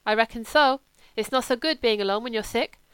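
A woman's voice: a little high, fairly quick, fairly bright tone, smooth, no room sound, voice pushed up, natural voice. A woman voicing a sickly, demanding young German man with a German accent and a grumbling, self-pitying manner, in an audiobook. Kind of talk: audiobook character reading.

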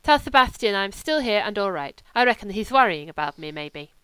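A woman voicing a louche young German man with a slight lisp, speaking loud, offhand broken English. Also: mid-range voice, sing-song, brisk, smooth, no room sound, poor audio. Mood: wheedling. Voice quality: lisp from a missing tooth.

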